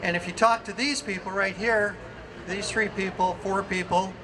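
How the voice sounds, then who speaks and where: An older, semi-retired man giving an earnest, measured speech outdoors, repeating phrases as he goes. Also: high-pitched, steady tone. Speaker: festival speaker. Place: outdoors by a street. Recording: outdoor speech with traffic noise.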